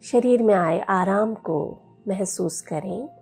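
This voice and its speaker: Slow, mellow woman guiding meditation. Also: medium pitch, faint music behind. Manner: soothing, gentle, unhurried with long pauses. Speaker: yoga teacher leading guided meditation. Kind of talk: guided meditation instruction.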